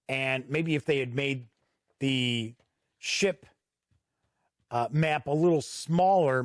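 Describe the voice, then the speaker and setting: A man with a slightly high voice reviews a tabletop game as he pages through it. He explains things in a conversational, unscripted way, and the recording is dry and close, with little room sound. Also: dry recording. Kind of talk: unscripted review commentary while paging through a product.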